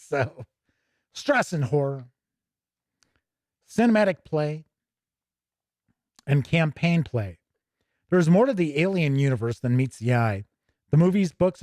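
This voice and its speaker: A man's voice: mid-range voice, darkish tone, dry recording, clean studio sound. A man hosting a tabletop gaming show, chatting casually with asides as he reads aloud and comments on a livestream.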